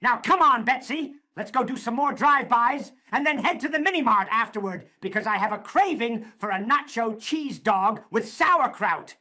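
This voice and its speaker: An actor playing a gleeful, theatrical villainous mad scientist with childish whims in a cartoon comic dub. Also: male voice, extremely high pitch, expressive, moderate pace, hardly any pauses, sharp tone, heavily acted. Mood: cheerfully scheming. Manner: theatrical, gloating.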